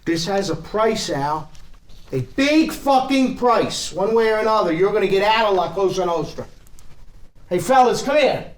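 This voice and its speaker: A man playing a domineering gangland boss in a live script reading, deliberate, profane and lecturing. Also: high-pitched, lively intonation. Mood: angry lecturing. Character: menacing, domineering male gangland boss, cold and explosive. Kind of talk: live table read of a TV script.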